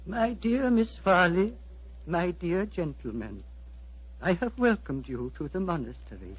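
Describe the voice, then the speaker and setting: An ancient, wise monastery abbot in an old radio drama. The voice is husky and swoops in pitch, slow and solemn in delivery. The recording is muffled. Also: male voice, lively intonation, exaggerated voice. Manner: slow, measured, formal. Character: very aged, wise male head abbot, solemn. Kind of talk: 1940s radio acting.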